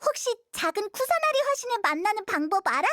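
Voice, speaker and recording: Very high, childlike little companion. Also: female voice, sing-song, quick pace, bright, forceful tone, dry recording, full character voice. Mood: curious, regretful. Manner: chatty, exclamatory, childlike. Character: small childlike floating companion, curious, chatty, excitable. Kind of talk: anime-style game voice acting. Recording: faint music behind the voice.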